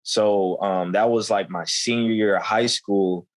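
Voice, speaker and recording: A man's voice: on the low side, fairly even intonation, unhurried. A young man in his twenties, a guest telling candid stories in loose, slangy conversation, often saying "you know what I'm saying.". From United States.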